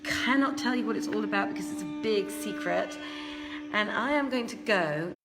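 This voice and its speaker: A woman chatting casually to live-stream viewers, halting and thinking aloud as she busies herself. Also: fairly high pitch, expressive, reverberant, over loud music, low-quality recording. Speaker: theatre performer hosting a livestream reading. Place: inside a theatre building, moving between rooms. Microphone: phone.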